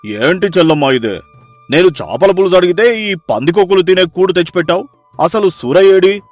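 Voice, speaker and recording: A man's voice: sits high, animated, a little fast, dry recording, soft background music. A man voicing a greedy, pompous, bossy city brother-in-law in a moral story. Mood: demanding.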